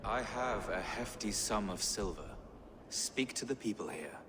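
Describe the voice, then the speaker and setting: A man's voice: on the low side, lively intonation, a little slow. A man playing a pious, pleading, anxious bishop in a video game, earnest and formal. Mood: urgent calming. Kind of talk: dramatic video game acting. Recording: reverberant hall, faint noise.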